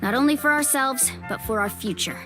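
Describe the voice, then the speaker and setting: A woman's voice: high voice, brisk, natural voice. A woman voicing a friendly, confident young warrior in an anime fantasy game, with an easygoing, earnest way of talking. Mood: hopeful, resolute. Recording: music behind the voice.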